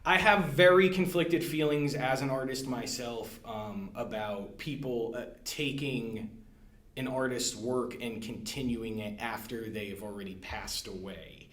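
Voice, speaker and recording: Casual male guest, midrange voice, halting with fillers, echoey room. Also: mid-range voice, fairly bright tone. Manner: hesitant, many fillers and restarts. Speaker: guest, library staff. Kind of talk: casual podcast discussion. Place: room at a library.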